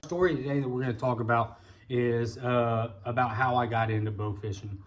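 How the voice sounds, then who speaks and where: A man's voice: medium pitch, steady tone, a little fast, loud music behind the voice. An American man with a folksy, colloquial way of talking, telling a casual personal story as a vlog host. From Indiana, United States. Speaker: vlog host, bowfishing guide.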